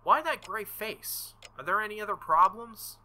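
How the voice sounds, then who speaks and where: A man voicing a confident, teasing young woman mage who questions briskly, in a visual novel. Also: high-pitched, expressive, sharp tone, slight background noise, voice pushed up, full character voice. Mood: probing.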